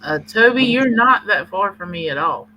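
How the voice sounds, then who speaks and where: Bright higher-pitched man over faint noise. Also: lively intonation, normal speed, low-quality recording. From Baltimore, Maryland, US. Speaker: co-host of a paranormal live stream. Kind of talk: casual group live-stream chat. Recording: faint hum and noise on stream.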